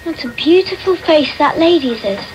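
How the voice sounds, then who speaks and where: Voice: high-pitched, lively intonation, normal speed. A performer playing a polite, frail and innocent young orphan boy in a radio drama, meek and earnest. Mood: wistful. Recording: dull, faintly hissy radio tape.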